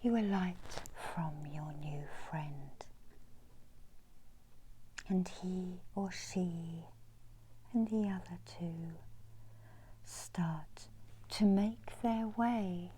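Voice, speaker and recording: A middle-aged woman narrating an ASMR guided meditation in a slow, hushed, soothing manner, often slipping into whispers. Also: on the low side, low-quality recording. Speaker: guided meditation host, Reiki healer. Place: indoors in a small room. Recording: clear background noise and ambience.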